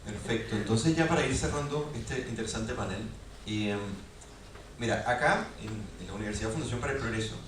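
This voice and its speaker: A man's voice: mid-range voice, a little fast. Hesitant moderator man in echoey hall. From Venezuela. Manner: hesitant, filler-heavy questions. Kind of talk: panel moderation. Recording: echoey hall, distant mic, faint noise.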